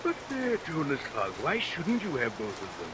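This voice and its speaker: A noisy old radio recording with rain carries a villainous Victorian barber. The voice is high and sweeps up and down, gloating and theatrical, in full melodrama. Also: male voice, huge pitch swings, moderate pace. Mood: sly, gloating. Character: villainous, murderous male barber of Victorian London. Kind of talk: 1940s radio melodrama acting.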